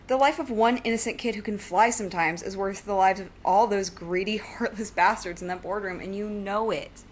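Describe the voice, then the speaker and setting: A woman's voice: medium pitch, normal speed, close to an everyday voice. Driven young supervillain woman acting, noisy recording. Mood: righteous, insistent. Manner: insistent, evasive, earnest. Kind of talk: audio drama dialogue.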